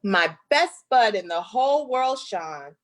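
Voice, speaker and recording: A woman from Michigan, a guest author, reading a picture book aloud to children in an expressive, drawn-out way. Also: mid-range voice. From Flint, Michigan, United States.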